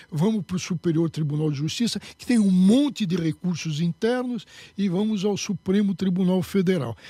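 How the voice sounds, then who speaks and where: A man's voice: high-pitched, dark tone, breathy edge, no echo. Emphatic man explaining law. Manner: emphatic, lecturing. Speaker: candidate and jurist. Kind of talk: political interview.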